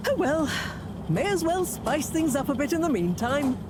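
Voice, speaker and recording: An actress playing a brisk, sharp-tongued woman scientist in a video game, theatrical and emphatic. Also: sits high, big pitch swings, background noise. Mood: dry, resigned.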